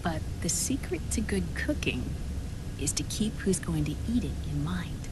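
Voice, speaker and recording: A woman playing a kindly, patient, nurturing woman in a video game cutscene, with a gentle, coaxing manner. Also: fairly low pitch, big pitch swings, moderate pace, background noise. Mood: gentle, encouraging. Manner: gentle, coaxing, softly encouraging.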